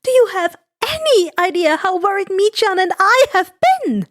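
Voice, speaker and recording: Woman acting a high-pitched, fierce, emotional mother. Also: sing-song, normal speed. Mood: angry, hurt. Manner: high, shrill, emphatic. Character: small fierce emotional mother, tearful and scolding.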